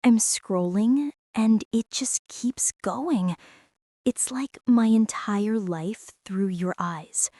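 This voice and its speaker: Woman acting ex bully girl in girlfriend asmr, slow mellow hushed voice, hesitant, super dry close mic. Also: medium pitch, no echo. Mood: disbelieving, softening. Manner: hushed, hesitant, slow, often slipping into whisper. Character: young female former bully, stunned, teasing, softening into tenderness. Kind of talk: intimate girlfriend ASMR roleplay.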